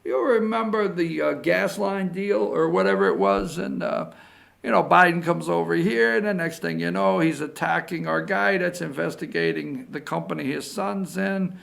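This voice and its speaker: A gravelly oligarch played by a male talk host passes on hints in a skit. He is chummy and insinuating, halting as he goes, like a conspirator sharing a tip. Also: a little high, expressive, a bit bright, heavily acted. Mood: sly, hinting.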